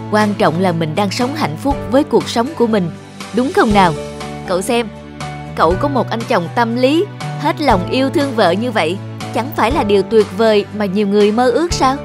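Cartoon young woman, played by an actress: reassuring, sensible, gently preachy, music underneath. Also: mid-range voice, animated, normal speed, close to an everyday voice. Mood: earnest, reassuring. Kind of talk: animated cartoon dubbing.

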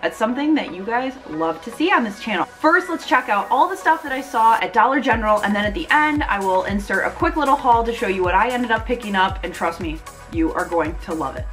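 A woman's voice: a little high, expressive, brisk, hardly any pauses, fairly bright tone, faint music behind. A woman in her thirties chatting casually and enthusiastically, gushing her way through a shopping haul as a vlogger. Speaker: home decor vlogger.

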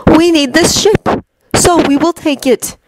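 A woman's voice: sits high, unhurried, background noise, pitched above the performer's own voice. A woman reading a fan fiction audiobook voices a cold, scornful male schemer who talks in a menacing, persuasive way. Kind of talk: audiobook character voice.

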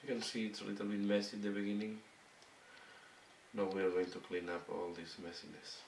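A man with a hoarse, fading voice giving a step-by-step painting tutorial in halting, broken phrases. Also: on the low side, monotone. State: hoarse, losing his voice. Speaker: miniature painting instructor. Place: indoor hobby painting desk. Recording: roomy, distant mic with faint noise.

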